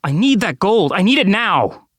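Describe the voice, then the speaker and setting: A desperate young man, as a storyteller puts him on, pushy and demanding in a lifted voice. His words jump up and down in pitch. The reading is close and dry. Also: big pitch swings, moderate pace, a bit bright, husky, dry recording, exaggerated voice. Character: desperate greedy young man, fast-food worker.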